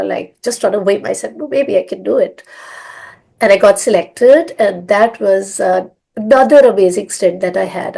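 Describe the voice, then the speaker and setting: A woman's voice: medium pitch, mellow. A middle-aged woman from India, telling her life story in a rambling, filler-filled way. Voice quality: hoarse. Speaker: guest, language school founder. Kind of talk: conversational storytelling.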